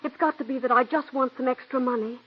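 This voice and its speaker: An earnest young stage-struck secretary who pleads and begs. Her voice is high and eager. The sound comes from a dull, muffled old radio play. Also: steady tone, fast, husky. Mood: pleading. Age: young adult. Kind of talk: 1940s radio acting.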